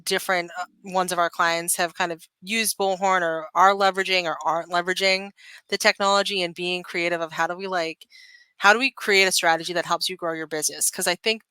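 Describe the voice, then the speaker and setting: A woman's voice: fairly low pitch, fairly even intonation, a little fast, sharp tone, clean tone, no room sound. A woman being interviewed as a sales manager, talking in a chatty professional way and often saying "like" and "kind of".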